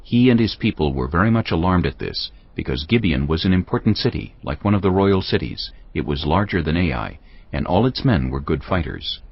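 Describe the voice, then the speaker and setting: A man narrating a dramatized Bible reading in a solemn, measured storytelling manner. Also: low-pitched, lively intonation, creaky, breathy edge, dull audio. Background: background music.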